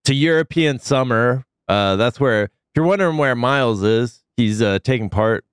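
A man's voice: medium pitch, lively intonation, moderate pace, smooth, no room sound, studio quality. A man with a cold hosting a casual comedy podcast, loose and jokey with big swoops of emphasis. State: sick, deep voice. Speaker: podcast host.

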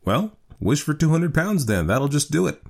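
A man's voice: a little high, big pitch swings, normal speed, vocal fry, voice pushed up, heavily acted. A man voicing a clever, cheeky young adult son in a storybook reading, with a teasing, flippant manner.

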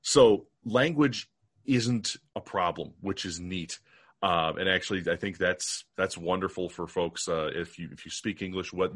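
A man's voice: sits low, a bit bright. A man with an American accent hosting an interview in a casual, wordy and enthusiastic way. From United States. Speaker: podcast host.

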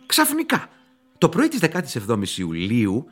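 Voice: medium pitch, wildly swooping pitch, husky, soft background music. A performer as the narrator of a grim horror radio play, telling it in a dramatic, foreboding way.

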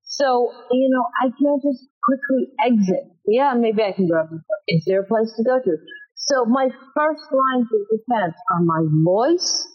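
A woman teaching self-defense in an interview, emphatic with a booming voice, often acting out example lines. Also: expressive, a little slow, lo-fi sound, faint music behind. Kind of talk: instructive interview talk.

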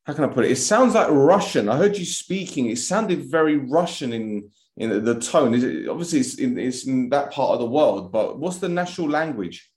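A man of middle pitch hosts a casual podcast, putting chatty, friendly questions to his guest. His voice rings a little in an echoey room. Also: expressive, fairly quick, darkish tone. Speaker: podcast host.

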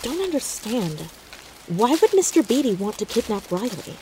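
A nervy, methodical teenage girl sleuth in an audio drama. Her voice is mellow and unforced, with an earnest, hushed delivery as she reasons things through. A light layer of ambient noise sits behind her. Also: on the high side, sing-song, normal speed, rough recording. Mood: earnest, analytical. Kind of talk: naturalistic audio drama dialogue.

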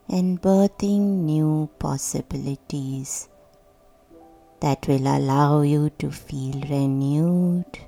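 A woman guiding a sleep meditation in a soothing, hushed, deliberate voice with long pauses. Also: low-pitched. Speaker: meditation guide. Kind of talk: guided sleep meditation, scripted narration. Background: soft meditation music.

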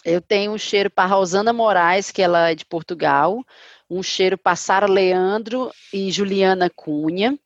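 A Brazilian woman co-hosting a podcast, chatting and explaining quickly. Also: mid-range voice, no echo, dull audio. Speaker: podcast co-host. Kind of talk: casual chat.

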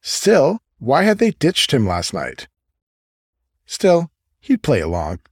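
A man's voice: medium pitch, wildly swooping pitch, takes it slowly, slightly rough, dry recording, studio quality. A man reading a scripted fiction story aloud as an audiobook narrator.

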